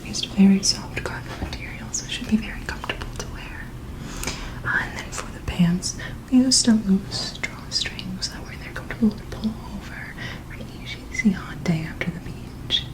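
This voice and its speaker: Softly whispering woman, gentle ASMR role-play. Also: medium pitch, moderate pace, low-quality recording. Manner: gentle, soothing, attentive.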